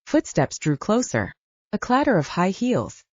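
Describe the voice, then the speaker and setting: A woman reading a first-person story as an audiobook narrator in a scripted, expressive storytelling style. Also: mid-range voice, a little slow, no echo, dull audio.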